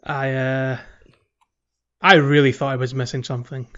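A man's voice: mid-range voice, flat delivery, moderate pace, sharp tone. A man co-hosting a gaming podcast, chatting casually with a hesitant, wryly humorous manner. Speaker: podcast co-host. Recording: slightly dull, lo-fi podcast audio.

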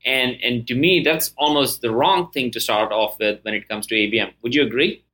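Bright-toned, enthusiastic male podcast host. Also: medium pitch, brisk. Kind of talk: podcast interview.

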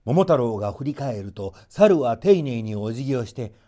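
A man's voice: medium pitch, big pitch swings, normal speed, dark tone. A man narrating a folk tale as a storyteller, in a theatrical scripted reading.

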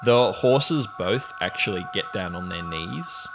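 A man narrating a tabletop roleplaying game as game master, telling the story suspensefully in the second person with deliberate pauses. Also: sits low, animated. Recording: prominent music under the voice. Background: music.

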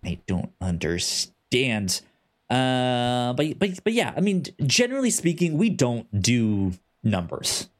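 A man with a stutter hosting a casual podcast, halting with many ums and restarts. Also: mid-range voice, big pitch swings. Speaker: podcast host.

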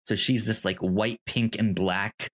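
A man co-hosting a casual pop culture podcast, talking in a halting, rambling, informal way. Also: on the low side, steady tone, a bit bright. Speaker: podcast co-host.

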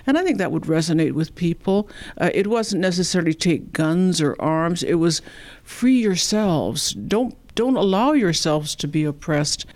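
A woman's voice: fairly low pitch, animated, moderate pace, a little mellow, no room sound. A woman who is a museum board member, leading a guided tour with enthusiastic, admiring talk.

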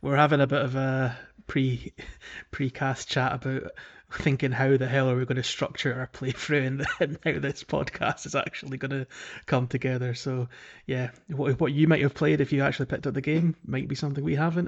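A man's voice: medium pitch, flat delivery, moderate pace, hardly any pauses, muffled recording. A man co-hosting a podcast in casual chat, talking in a discursive, self-qualifying way. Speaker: podcast host.

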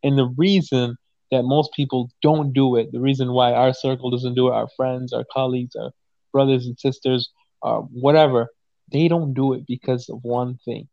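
A man's voice: mid-range voice, fairly even intonation, normal speed, gravelly, warm tone, dry recording. Casual talk, 20s guy, swears a lot, fired up, dull dry recording. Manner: profane, emphatic, repeats "you know what I'm saying". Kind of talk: casual conversation.

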